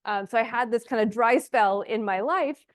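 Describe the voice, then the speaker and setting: Young female conference presenter, smooth clean voice, informal and explanatory. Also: on the high side, moderate pace. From Honduras and Texas. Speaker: speaker, ecological restoration student and forest project lead.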